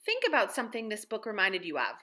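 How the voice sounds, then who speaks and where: A woman's voice: mid-range voice, big pitch swings, fast talker, bright, forceful tone. A woman in her thirties giving a read-aloud lesson to children like a teacher, encouraging them with simple, direct questions. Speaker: elementary school teacher. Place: room at home.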